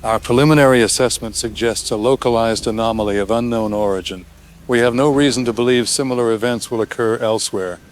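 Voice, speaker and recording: A man's voice: mid-range voice, fast, a little hiss or hum, lightly acted. A man playing a guarded senior defense official in an audio drama, giving formal, placating statements. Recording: faint background ambience.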